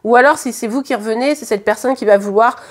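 A woman with a French accent and a high voice reads tarot cards to camera in a room at home. Her voice is a little hoarse, and she talks in a loose, chatty way, often stopping to restart a thought or fill a pause. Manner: chatty, hesitant, many fillers and restarts. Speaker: tarot card reader. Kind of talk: casual tarot reading to camera. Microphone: camera at the reading table.

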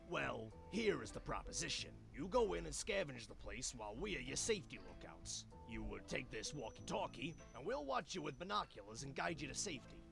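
Gravelly, animated little animal salesman. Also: medium pitch, brisk, few pauses, over music. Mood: persuasive. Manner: persuasive, salesman-like patter. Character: scheming small animal salesman, male, cheerful fast-talking persuader. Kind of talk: cartoon adventure game voice acting.

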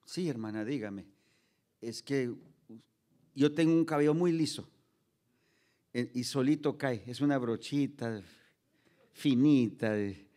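Hombre que predica contando anécdotas. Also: male voice, medium pitch. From Los Angeles, United States. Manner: storytelling with acted dialogue, mixing English phrases. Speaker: pastor. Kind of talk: humorous sermon to a children's ministry. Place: stage in a large indoor hall. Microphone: stand microphone.

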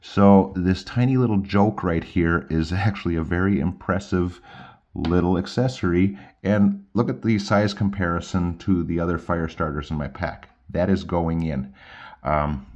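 A man's voice: sits low, normal speed, darkish tone. A middle-aged man casually demonstrating and reviewing outdoor gear, recorded indoors at a tabletop with a slightly dull sound.

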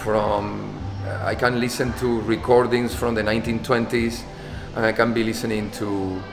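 A man's voice: on the low side, little pitch movement, normal speed. A man, speaking thoughtfully in an interview, often repeating words. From Spain. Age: 50s. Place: dance studio, indoors. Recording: echoey hall with music behind.

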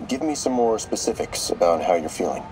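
Deep-voiced calm male therapist. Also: a little fast, a little hiss or hum, poor audio. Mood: calm, probing. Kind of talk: video game dialogue, recorded session. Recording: music over an audio-recorder playback.

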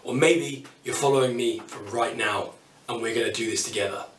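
A British man in his thirties talks through his tools in a chatty, upbeat way, with wry asides about his cheap kit. His voice sits at a middling pitch. The workshop is roomy and echoes around him, and he stands some way from the camera mic. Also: a little fast, a bit bright, recorded from a distance, slight background noise. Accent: British English. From United Kingdom. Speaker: woodworking YouTuber. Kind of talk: casual tool walkthrough to camera. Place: small home workshop, indoors. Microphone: camera mic at a distance.

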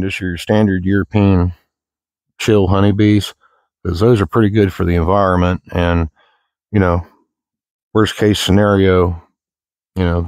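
A man's voice: deep voice, darkish tone, no room sound. A middle-aged man with an American accent chatting casually while walking outdoors in the woods, in a folksy, rambling, plain-spoken way. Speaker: outdoor vlogger. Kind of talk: casual walk-and-talk chat.

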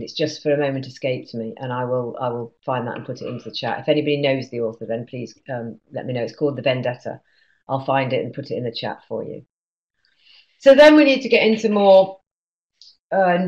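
A woman's voice: a bass voice, darkish tone. A middle-aged British woman, a teacher trainer, explaining informally in an online lesson and posing questions as she goes, on a video-call microphone. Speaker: teacher trainer presenting a webinar. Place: small indoor room, on a video call. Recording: dull, lo-fi webcam audio.

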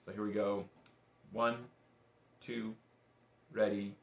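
Low-voiced man teaching in an echoey room. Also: level tone, slow, gravelly, lo-fi sound. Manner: encouraging, informal, patient. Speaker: bagpipe teacher. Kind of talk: casual step-by-step instrument lesson. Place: small indoor room.

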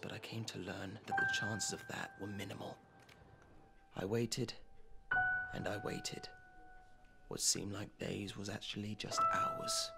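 A man's voice: lowish voice, gravelly. A man playing an obsessive, lovelorn artist in an audio drama, speaking hesitantly and with awkward politeness. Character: nervous, obsessive lovelorn male artist narrating his longing. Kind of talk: dramatic monologue and phone dialogue.

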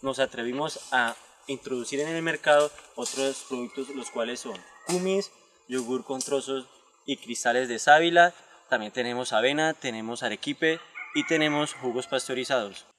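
A man's voice: medium pitch, normal speed, a bit bright, slight background noise. A Colombian man of twenty-seven giving a prepared presentation to camera outdoors. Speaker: quality control leader of a small food business. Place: outdoors in countryside.

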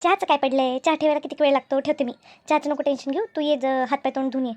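A woman voicing a bossy, sly elder sister-in-law in a cartoon family drama, brisk and repetitive as she instructs. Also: high voice, fairly even intonation, a little mellow, natural voice. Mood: bossy, coaxing. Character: bossy, two-faced elder sister-in-law running the kitchen.

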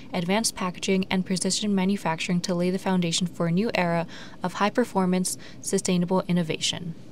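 A woman narrating a news video from a script in a measured, formal way. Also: fairly low pitch, slight background noise.

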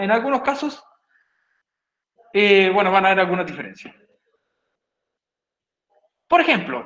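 A Chilean man teaches an online English class, chatty and joking, often asking his students if they follow. His voice sits high. It rings a little in a roomy space, picked up by a laptop mic. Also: a bit bright. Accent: Chilean Spanish. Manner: chatty, jokey, asks "¿cierto?" often. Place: home room on a video call.

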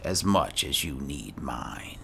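A man narrating a fantasy game in character, measured, solemn and dramatic. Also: rumbling low, very animated, vocal fry, music in the background. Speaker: gaming video host playing in character.

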